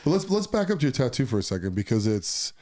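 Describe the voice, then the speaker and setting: A man hosting an interview podcast, quick to agree and leading the talk casually. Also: medium pitch, sing-song, darkish tone. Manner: brisk, lots of short agreements. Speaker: podcast host.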